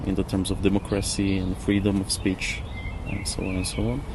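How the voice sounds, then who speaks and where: A man, speaking in a halting, searching way during a reflective interview. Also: low-pitched, completely flat delivery. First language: not English. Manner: halting, searching for words with repetitions. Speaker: interview guest, former architect. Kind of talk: casual reflective discussion. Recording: noisy outdoor ambience with birds, traffic.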